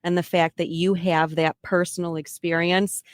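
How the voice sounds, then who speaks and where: A woman's voice: fairly low pitch, little pitch movement, moderate pace, no echo, studio quality. A woman hosting a podcast interview in a casual, chatty way, often restarting her phrases. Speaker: podcast host.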